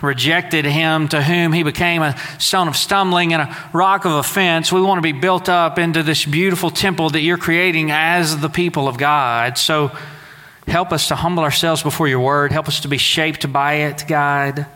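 A man from Southeast Texas in his forties preaches to his congregation, and he talks in a folksy, conversational way with casual asides. His voice sits a little high and sounds bright. It rings out with the long reverb of a church sanctuary. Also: fairly even intonation, moderate pace. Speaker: pastor. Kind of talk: sermon.

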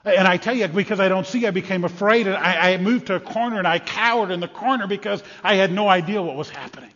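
A man playing an excitable blind beggar of ancient Jerusalem in a dramatic first-person monologue, halting and repeating himself, swinging between hushed and loud. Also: fairly high pitch, husky. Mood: frightened, agitated. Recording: echoey large hall, slightly muffled.

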